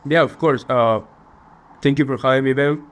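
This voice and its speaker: A young man from Peru with a Peruvian Spanish accent, answering interview questions earnestly and a little haltingly. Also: medium pitch, fairly even intonation. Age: young adult. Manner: earnest, polite, slightly halting phrasing. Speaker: finance student guest.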